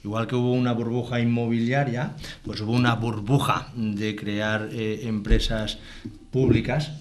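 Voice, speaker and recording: A man in a local TV debate arguing his point in a measured way, with frequent hesitations. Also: mid-range voice, little pitch movement. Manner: measured, argumentative, many hesitation fillers. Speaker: debate participant.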